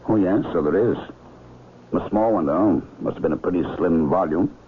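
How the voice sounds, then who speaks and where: An actor playing an easygoing, wry doctor in a radio mystery, husky and ruminative, with folksy, teasing doubt. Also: male voice, deep voice, normal speed, slight background noise, lightly acted. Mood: thoughtful. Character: musing, wry, easygoing male local doctor helping an investigation. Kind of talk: 1970s radio mystery acting. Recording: tinny, phone-like vintage radio sound.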